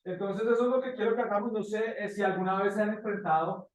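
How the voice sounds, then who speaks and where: A man teaching informally to a class, pausing to search for phrases and checking in with short questions, recorded at a distance in an echoey room. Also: high-pitched, steady tone, slight background noise. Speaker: motion graphics teacher. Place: indoor classroom.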